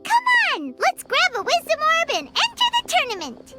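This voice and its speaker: An actress playing a small, excitable childlike fairy companion in a video game, chatty and eager, with a squeaky voice. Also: female voice, normal speed, full character voice. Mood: cheerful, eager. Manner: bubbly, refers to herself by name. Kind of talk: animated video game voice acting. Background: music.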